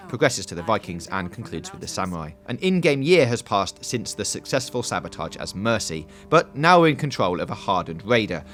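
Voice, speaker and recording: A man's voice: lowish voice, a bit bright. A man reading a scripted video game preview voice-over in a polished, emphatic presenter style.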